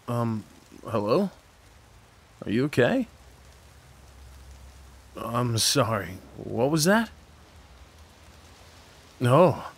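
A man acting a kind, slightly awkward neighbor in an audio roleplay, talking in a gently concerned, hesitant, conversational way. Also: mid-range voice, breathy edge, full character voice. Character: kind, awkward, caring male neighbor comforting someone. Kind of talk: ASMR roleplay, soft one-sided dialogue acting.

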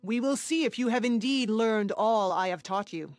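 An actress playing a calm, resolute female demon in a video game, formal and measured. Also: no echo, lightly acted. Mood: confident, challenging. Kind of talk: video game cutscene acting. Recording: loud rumbling ambience behind.

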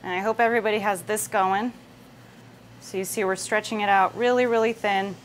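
A woman's voice: mid-range voice, steady tone, fairly quick. A woman in her thirties hosting a casual live cooking demonstration, talking conversationally through each step. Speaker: cooking show host. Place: indoor kitchen set with wooden worktop. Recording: noisy room sound with kitchen ambience.

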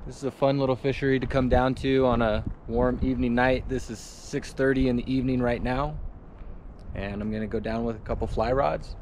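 A man in his thirties filming a casual fishing vlog outdoors by a lake, talking in a relaxed, upbeat, offhand way into an action camera. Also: medium pitch, fairly quick, a little hiss or hum. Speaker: fishing vlogger. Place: outdoors by a lakeside dam.

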